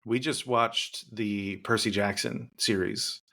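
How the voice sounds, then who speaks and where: A man hosting a podcast in casual conversation, rambling through his points and quick to tease his guest with jokes. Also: lowish voice, steady tone, pushed and bright. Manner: rambling, teasing, quick to joke. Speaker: podcast host.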